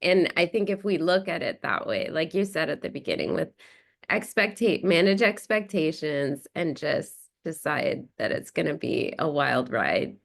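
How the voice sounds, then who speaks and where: A woman podcast guest talking casually in a lively way, with big swings in pitch. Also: normal speed, creaky.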